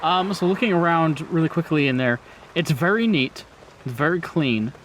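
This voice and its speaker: A man's voice: on the high side, lively intonation, moderate pace, a little hiss or hum, rough recording. A man narrating a tabletop roleplaying game as game master in an informal, conversational way.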